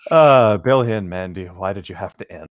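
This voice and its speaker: A man's voice: sits low, expressive, moderate pace, no echo, dull audio. A young man reading a story aloud and chatting casually as a co-host. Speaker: co-host of a comedic creepypasta reading.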